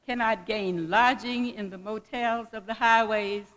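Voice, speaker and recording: A woman giving a dramatic speech reading, delivered deliberately phrase by phrase. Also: fairly high pitch, fairly even intonation, fairly bright tone. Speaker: guest reader at a commemorative event. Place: large indoor hall at a college event. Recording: reverberant hall, long echo.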